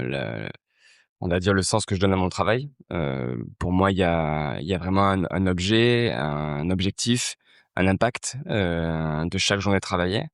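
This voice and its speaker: A man's voice: deep voice, creaky, clean tone, no room sound, clean studio sound. A man in his thirties from Marseille, a festival founder, talking in a casual interview, hesitant with many fillers and restarts. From Marseille, France.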